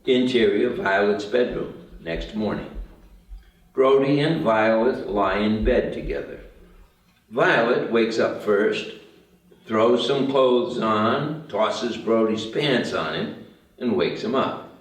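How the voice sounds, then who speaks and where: A man's voice: on the low side, animated, slow pace. A man reading out stage directions in scripted narration at a table read. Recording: very echoey room, distant mic.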